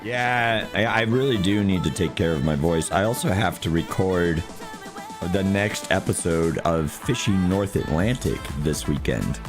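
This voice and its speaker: A hoarse man with a lost voice that has turned unusually deep, speaking quietly as he plays a game on stream. He talks slowly in a low register, chatting to viewers and chuckling at himself. Faint music plays softly underneath. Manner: talks quietly to spare his voice. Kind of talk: casual livestream commentary while playing.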